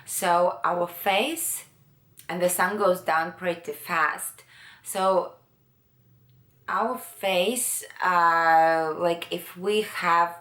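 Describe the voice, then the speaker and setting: A woman from Latvia, giving a makeup tutorial in a hesitant way, often pausing to search for words. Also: lowish voice, steady tone, bright, forceful tone, roomy sound. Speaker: beauty vlogger.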